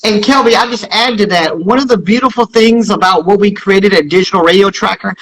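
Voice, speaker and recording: A man speaking as an interviewed company founder, explaining things in an emphatic, salesman-like way with a husky voice. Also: sits high, brisk, a bit bright, poor audio.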